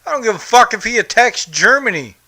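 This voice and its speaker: A man's voice: very high voice, sing-song, a little fast, bright, forceful tone. A man hosting a podcast, from the United States, ranting bluntly and profanely about politics. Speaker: podcast host. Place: home in Brazil.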